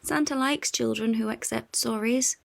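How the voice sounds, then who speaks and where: Casting: woman voicing little cartoon puppy girl, eager, earnest, pleading, high-pitched and childlike. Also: normal speed, creaky, dry recording.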